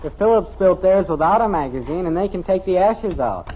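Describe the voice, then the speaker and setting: High-pitched, grumbling American teenage boy. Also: animated, fairly quick. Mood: offhand. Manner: protesting, offhand. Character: grumbling, put-upon American teenage son. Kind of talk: 1950s American radio sitcom acting. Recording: dull, noisy old radio broadcast recording.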